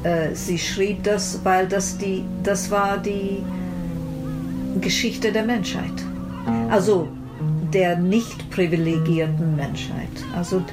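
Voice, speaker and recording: A woman reflecting spontaneously in an interview, halting as she searches for her words. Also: medium pitch, steady tone, loud music behind the voice, poor audio.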